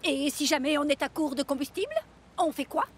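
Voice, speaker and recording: A woman's voice: sits high, brisk, close to an everyday voice. A woman voicing a bossy, fretful steam shovel in a French cartoon dub, exasperated and dramatic. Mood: worried, insistent. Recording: music behind the voice.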